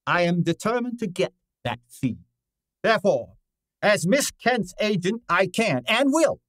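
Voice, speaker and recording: A man acts out an imperious radio-drama detective with a high voice. He talks in formal, ornate phrases, curt and easily exasperated. The sound is dry and close. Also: a bit bright, no room sound, exaggerated voice. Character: pompous, gruff, money-minded male detective, curt and imperious. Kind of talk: old-time radio drama acting.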